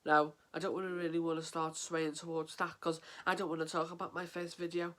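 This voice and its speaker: An English man speaks to camera in a casual vlog, his voice stuffy and sniffling from a head cold. He talks in an earnest, halting way, full of ums and restarts, and his pitch stays level and flat throughout. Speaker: mental health vlogger.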